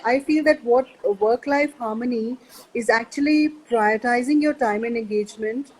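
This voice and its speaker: A woman with a husky voice speaking as a panel guest, earnestly listing her points one by one. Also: a little high, darkish tone, poor audio. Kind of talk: panel talk.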